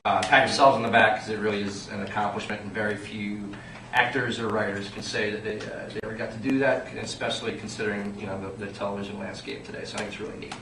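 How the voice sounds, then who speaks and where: A man leading a table read as director, speaking informally and reading out stage directions in a halting way. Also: lowish voice, steady tone. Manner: halting, self-interrupting. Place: indoor room at a group table read. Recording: noisy, echoey room, distant microphone.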